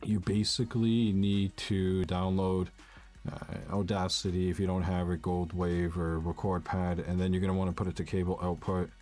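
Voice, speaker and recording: A young man with a naturally low voice, casually walking viewers through a tech setup step by step, often saying "uh" and "basically". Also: a little mellow. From Canada. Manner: casual, rambling, frequent "uh" and "basically". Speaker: tech tutorial youtuber. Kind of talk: casual screen-recorded tutorial. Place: home room with a bar fridge.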